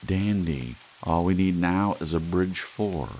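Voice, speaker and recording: A man voicing a sharp-tongued, exasperated wife with a biting, sarcastic manner in a short story reading. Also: deep voice, slow pace. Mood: bitterly sarcastic. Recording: steady hiss, rain sound underneath.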